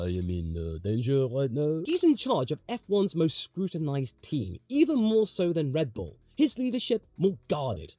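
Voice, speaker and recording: A man's voice: fairly high pitch, darkish tone, dry recording. A man hosting motorsport commentary, delivering opinionated scripted analysis with emphatic rhetorical questions and wry asides. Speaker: host of motorsport commentary show.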